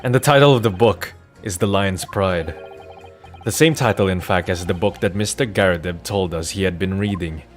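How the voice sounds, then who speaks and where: A man's voice: sits low, moderate pace, faint music behind. A man doing a theatrical, articulate read-through of courtroom video game dialogue. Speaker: video game streamer reading dialogue aloud.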